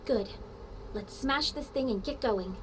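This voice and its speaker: A woman's voice: on the high side, measured pace, a little hiss or hum, natural voice. A woman voicing a composed, self-assured young squad leader in a video game, cool and probing. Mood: confident.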